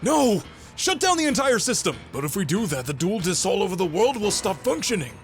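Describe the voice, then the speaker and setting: A frantic computer technician in an anime drama, performed by a man. His voice is strained up high and loud as he calls out in urgent alarm. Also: sing-song, fairly quick, soft background music. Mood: desperate, urgent. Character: panicking male computer technicians at a big company.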